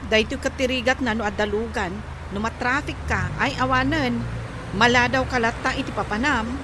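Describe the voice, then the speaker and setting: An actress with an Ilocano Filipino accent playing an exasperated wife who nags about money in a comedy drama. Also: female voice, on the high side, fairly quick, noisy background, lightly acted. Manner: complaining, exasperated. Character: nagging exasperated wife fretting over household spending.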